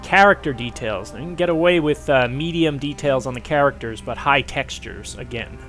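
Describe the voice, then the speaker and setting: A man's voice: mid-range voice, a bit bright. Guy with a cold talking through his pc game settings, stuffy, lots of uhs, bit of game music under it. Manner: rambling, frequent "uh" pauses. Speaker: gaming channel host. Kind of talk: casual gameplay commentary.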